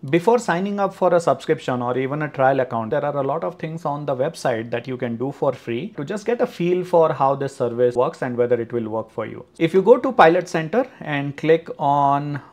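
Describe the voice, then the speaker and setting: A man's voice: fairly high pitch, animated, brisk, hardly any pauses, a little mellow. A man presenting a screen-recorded walkthrough of a flight simulator service, explaining steadily with occasional fillers. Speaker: flight sim tutorial presenter.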